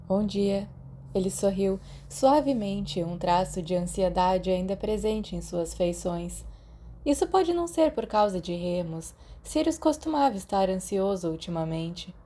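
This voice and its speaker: A woman's voice: mid-range voice, animated, measured pace, mellow. A woman with a Brazilian accent reading scripted fiction as an audiobook narrator.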